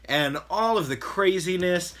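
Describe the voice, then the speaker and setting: A young man telling a casual storytime vlog, slightly hoarse, chatty and mildly exasperated. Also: high-pitched, animated, a little slow, a bit bright. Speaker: vlogger.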